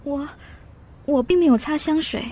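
An actress playing a demure, self-pitying young wife who longs for finery in a radio drama, speaking in a plaintive, delicate way. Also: female voice, sits high, moderate pace, warm tone, close to an everyday voice. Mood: shy, polite. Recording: music behind the voice.

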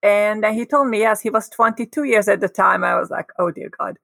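A woman from Hungary, a guest telling a personal story in a lively, expressive way. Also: mid-range voice, a little fast, creaky, no echo, clean studio sound. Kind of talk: casual storytelling.

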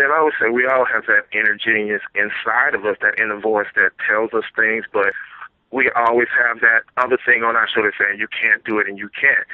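A man's voice: on the low side, no echo. A man with a Louisiana accent answering conversationally as an interview guest, heard over a phone-like line. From Louisiana, United States. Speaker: interview guest, theater producer and playwright.

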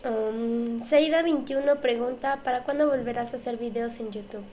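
A woman's voice: fairly high pitch, moderate pace, mellow. A woman hosting an interview show, reading out audience questions and presenting to viewers. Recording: dull, echoey room with faint noise.

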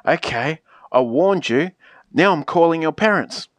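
Woman voicing a melodramatic, bossy storybook character, swooping pitch. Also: animated, no echo. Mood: threatening, bossy. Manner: theatrical, emphatic, drawn-out pleading. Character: pleading, exasperated book character, melodramatic and bossy. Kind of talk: children's picture book read-aloud.

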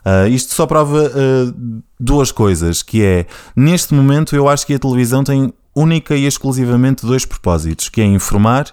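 Portuguese male co-host, clear smooth voice, steady delivery of figures. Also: medium pitch, moderate pace. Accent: European Portuguese. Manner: steady, listing numbers with fillers. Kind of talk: casual radio-style chat, reading audience figures.

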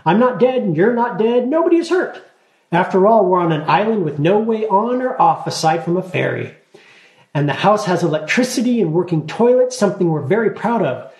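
A man's voice: sits high, animated, normal speed, a little mellow, echoey room. A man voicing a blunt, bossy female gnome who is 263 years old but childlike. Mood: chattering. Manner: blunt, morbid, hasty chatter.

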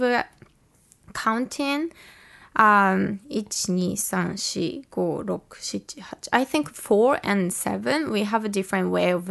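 A woman with a Japanese accent talks in a relaxed, casual way, her voice carrying a gravelly, fry-tinged edge. She hesitates often, filling gaps while she looks for the right English words. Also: mid-range voice. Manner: hesitant, searching for words, many fillers. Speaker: native-speaker guest. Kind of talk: casual chat.

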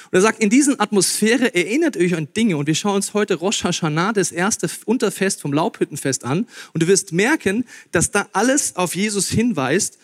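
Pastor, male, late 30s, playful sermon delivery, voice a touch higher than usual. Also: moderate pace, hardly any pauses. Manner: lively, joking, addresses audience directly. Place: church hall before a live audience.

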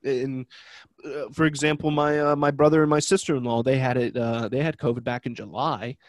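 A man's voice: medium pitch, fairly even intonation, fairly quick, no echo. A young man with a head cold, his voice nasal and congested. He talks in a loose, rambling way, full of jokes at his own expense, like a podcast co-host chatting with a friend. The sound is dry and close, with no room around it. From Ohio. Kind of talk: casual chat.

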